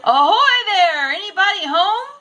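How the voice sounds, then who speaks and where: A kind, spirited storybook schoolteacher, played by a woman reading aloud. Her voice is raised high and swings with animation, bright and adventurous. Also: animated, unhurried, exaggerated voice. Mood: calling out.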